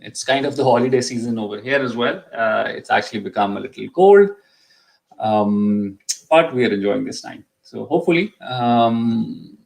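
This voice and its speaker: Man with a cough, halting live-stream talk. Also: mid-range voice, lively intonation, gravelly, a little mellow, poor audio. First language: Urdu. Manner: hesitant, many ums and restarts. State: slightly sick with a cough. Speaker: immigration consultant hosting a live session.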